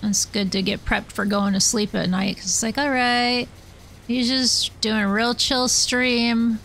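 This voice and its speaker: A woman's voice: a little high, fairly even intonation, unhurried, fairly bright tone, slight background noise, poor audio. A woman streamer chatting casually with viewers while she plays, rambling and self-deprecating. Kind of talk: casual chat while gaming.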